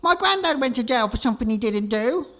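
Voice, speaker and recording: High, whiny, hapless defendant. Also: male voice, expressive, brisk, sharp tone, full character voice. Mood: pleading. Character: hapless, naive jobless young man on trial. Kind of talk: comedic radio sketch acting.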